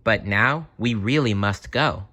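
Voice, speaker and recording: A man's voice: on the low side, measured pace, bright, forceful tone. A man voicing a brave, kind young girl knight in a storybook, speaking earnestly and frankly. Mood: kind, firm. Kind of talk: storybook character voice.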